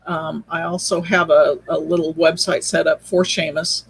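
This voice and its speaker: A woman's voice: low-pitched, flat delivery, moderate pace, vocal fry, slightly rough, no echo, poor audio. A woman chatting casually as a podcast guest, explaining things in an informal, hesitant way. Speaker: podcast guest, audio drama creator. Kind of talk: casual interview chat. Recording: faint background noise.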